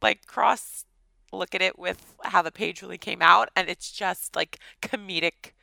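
A woman co-hosting a casual podcast chat, her voice slightly scratchy and tired, talking in a chatty, animated way. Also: lowish voice, gravelly, no echo. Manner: chatty, animated, quick laughs. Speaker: podcast co-host.

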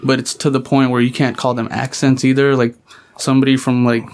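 Young Pakistani-born man, Punjabi native, podcast guest; steady, even explainer. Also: medium pitch. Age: 20s. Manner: calm, explanatory, measured. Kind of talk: casual chat.

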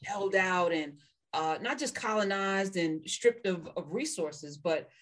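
A woman co-hosting a casual talk show, speaking briskly and emphatically with blunt asides. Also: on the low side, a bit bright. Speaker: podcast co-host. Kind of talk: casual conversational discussion.

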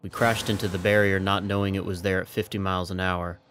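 A man playing a stranded young army corporal in an audio drama, resigned and stoic, measured and wry. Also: low-pitched, flat delivery, a bit bright, a little hiss or hum. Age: young adult. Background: background music.

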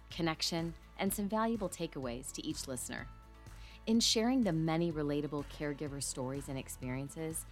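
A woman hosting a parenting podcast, slightly hoarse, talking earnestly with fillers. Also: low-pitched. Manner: earnest, apologetic asides with fillers. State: slightly hoarse after illness. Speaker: podcast host. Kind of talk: podcast intro and interview.

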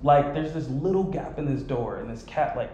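A man's voice: medium pitch, lively intonation, moderate pace, warm tone, lo-fi sound. A man hosting a podcast who tells rambling, self-reflective stories and swears casually. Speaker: podcast host. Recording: echoey room.

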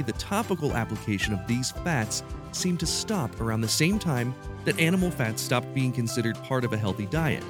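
A man hosting a podcast, reading scripted narration. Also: mid-range voice. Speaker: podcast host. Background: music.

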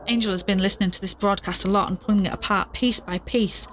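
An anxious, tired woman investigator, played by an actress confides in her audio diary in an audio drama. She talks in a casual, hesitant way at a middle pitch, with noticeable ambient noise around her. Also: fairly even intonation, fast talker, close to an everyday voice. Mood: anxious, puzzled.

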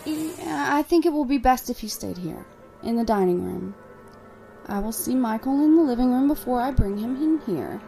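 An actress playing a young woman devoted to her controlling father, speaking in a soft, measured, quietly menacing way. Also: on the high side, lively intonation, vocal fry, faint music behind. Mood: calm, sinister. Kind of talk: audio drama acting.

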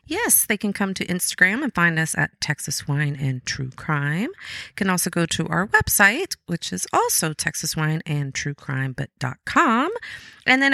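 A woman co-hosts a podcast in an easygoing, chatty way, often chiming in with short agreeing replies. Her voice sits a little low yet sounds bright, with a lively, swinging pitch. The recording is dry. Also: no room sound. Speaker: podcast co-host. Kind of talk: casual podcast chat.

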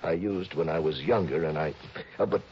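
A man's voice: extremely low pitch, normal speed. An actor playing a worried, stammering wealthy man of about fifty in a 1960s radio drama. He speaks nervously and pleads. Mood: anxious. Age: 50s. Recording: dull old radio broadcast with faint hiss.

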